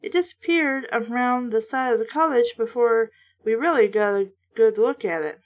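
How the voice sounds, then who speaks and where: A woman with a fairly high voice reads and reviews in a casual way. She is blunt and sardonic, and swears freely as she comments. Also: moderate pace. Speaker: book reviewer and host. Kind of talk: casual read-aloud with running critical commentary.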